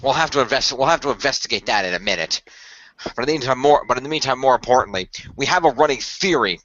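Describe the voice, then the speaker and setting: A man plays an earnest tech expert on a hero team in an amateur radio play. He explains plans in long, halting, technical sentences, on a dry, slightly muffled lo-fi recording. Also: medium pitch, animated, a bit bright, no echo, lightly acted. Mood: concerned, explanatory. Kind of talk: amateur radio play, improvised-sounding dialogue. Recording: dull lo-fi voice recording.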